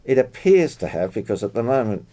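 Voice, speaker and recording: Man in halting, detailed technical chat. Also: mid-range voice, sing-song, warm tone. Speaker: club member discussing radio gear.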